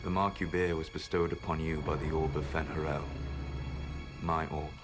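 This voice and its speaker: A proud, secretive ancient elf mage speaks slowly and gravely, every word weighed. His voice sits very low, and a swelling game score plays behind him. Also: level tone. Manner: measured, grave, quietly regretful. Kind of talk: video game voice acting. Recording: game audio with music score.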